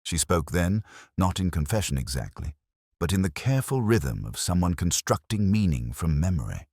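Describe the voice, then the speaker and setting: A man narrating a scripted first-person detective story in measured, literary phrasing. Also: low voice, sing-song, creaky, darkish tone, no echo, studio quality.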